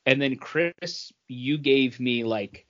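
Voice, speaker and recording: A man's voice: mid-range voice, slow, fairly bright tone. Man chatting, dull lo-fi sound. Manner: enthusiastic, wordy fan talk. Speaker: comic book podcast co-host. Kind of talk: casual chat.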